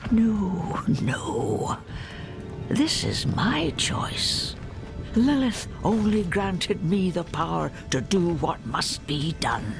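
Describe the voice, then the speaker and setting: An actor playing a solemn, ruthless druid fanatic in a video game, theatrical and often sinking into whispers. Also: male voice, sits high, big pitch swings, gravelly, full character voice. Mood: defiant. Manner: slow, theatrical, breaking into whispers. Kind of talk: dramatic video game acting. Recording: music behind the voice.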